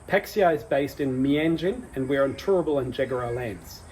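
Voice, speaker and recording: A man's voice: mid-range voice, expressive, moderate pace, slight background noise. A man, director of an institute, giving a formal ceremonial welcome and introducing a guest. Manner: warm ceremonial welcome, some fillers.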